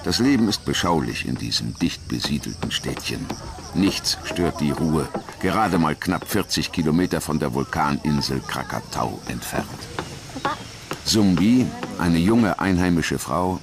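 A man reading scripted documentary narration in a measured, solemn manner. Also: rumbling low, sing-song, gravelly, background noise.

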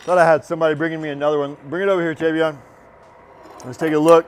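Man in his thirties, relaxed culinary instructor demoing a dessert, lapel mic in a big kitchen, faint hum behind. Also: fairly high pitch, very fast. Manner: relaxed, encouraging, talks while working. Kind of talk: cooking demonstration. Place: large teaching kitchen, indoors.

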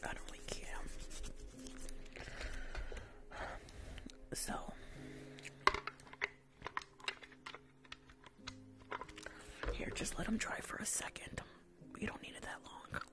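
A woman's voice: fairly quick, loud music behind the voice, natural voice. Woman acting whispering, sassy, rude nail tech. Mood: dismissive. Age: young adult. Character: rude, impatient, sassy young female New Jersey nail technician. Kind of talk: ASMR roleplay. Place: small decorated room indoors. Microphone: fluffy-covered microphone, close up.

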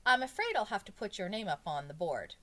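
A woman voicing a brisk, exasperated woman schoolteacher in a children's storybook, firm and matter-of-fact. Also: mid-range voice, very animated, fairly bright tone, voice pushed up. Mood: scolding.

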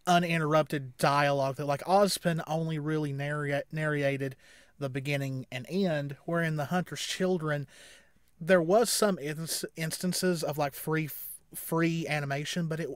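A man in his thirties giving casual, rambling reaction commentary as a co-host, halting and repeating words as he explains. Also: on the high side, steady tone, smooth, no room sound, studio quality. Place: small indoor room at home.

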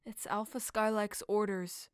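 An adult wolf mother, played by a woman, speaks slowly with serious resolve. This dutiful cartoon character keeps an even, mid-pitched voice in a dry recording. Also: clean tone, no room sound, close to an everyday voice. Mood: firm, solemn. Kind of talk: animated cartoon voice audition.

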